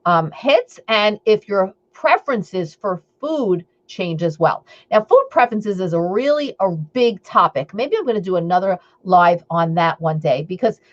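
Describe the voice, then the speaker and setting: A woman's voice: mid-range voice, animated, a little slow, hardly any pauses, muffled recording. A woman with a Long Island accent hosting a live stream as an eating coach, chatting upbeat and rambling with lots of fillers and asides to her viewers. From Long Island, New York.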